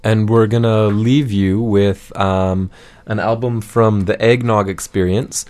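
A man with a low voice hosts a radio show in a casual, chatty way. He takes his time, speaking slowly and somewhat hesitantly as he wraps things up. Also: steady tone, a little mellow. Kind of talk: casual chat, signing off a show.

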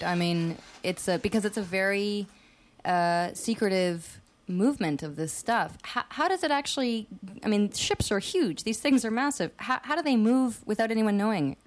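A woman's voice: medium pitch, animated, moderate pace. Hesitant woman hosting a radio show. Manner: hesitant, lots of ums and restarts. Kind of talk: radio interview.

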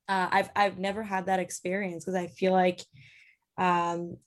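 A woman from Iran speaks as a guest in a casual interview. Her voice sits a little low, and she talks in a halting way, pausing on fillers and restarting her sentences as she goes. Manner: hesitant, many fillers and restarts. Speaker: theatre artist, interview guest.

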